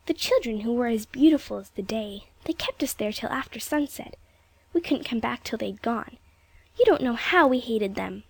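A woman voicing an anxious young girl who pleads in a breathy voice. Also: fairly high pitch, expressive, normal speed, hardly any pauses, no echo, pitched above the performer's own voice.

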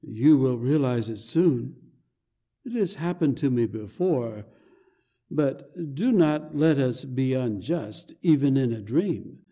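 A woman voicing a conscientious, bewildered male clergyman in a storybook reading, with slow, halting, earnest delivery. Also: very deep voice, animated, mellow, pitched below the performer's own voice, full character voice. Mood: dazed, earnest. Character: dazed, muddled, conscientious young male curate.

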